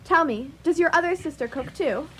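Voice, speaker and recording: A woman's voice: sits high, moderate pace, a little hiss or hum, natural voice. An actress playing a friendly, chatty young woman on a first date in a radio play, polite and gushing. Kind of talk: radio theatre acting.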